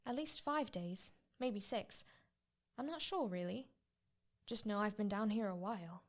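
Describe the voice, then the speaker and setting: A woman's voice: medium pitch, a little slow, natural voice. A woman playing a dainty, weary captive in a tabletop role-play game. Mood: unsure, subdued.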